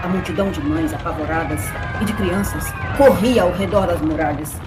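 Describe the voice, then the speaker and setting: A woman's voice: lowish voice, moderate pace, crowd noise. Brazilian woman narrating an epic, dramatic, roomy echo, music behind.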